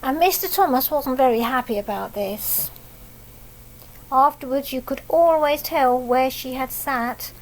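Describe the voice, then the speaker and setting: Slow-paced woman reading a children's story. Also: high-pitched, poor audio. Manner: simple explanatory asides and questions for young children. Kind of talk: read-aloud picture book storytelling with asides.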